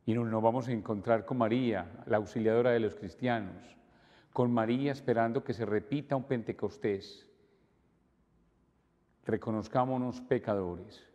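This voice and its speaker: An older priest leads Mass in a calm, measured voice of middle pitch. His prayers carry a long reverb through the church. The delivery is steady and liturgical. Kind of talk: celebrating Mass. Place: church altar, indoors.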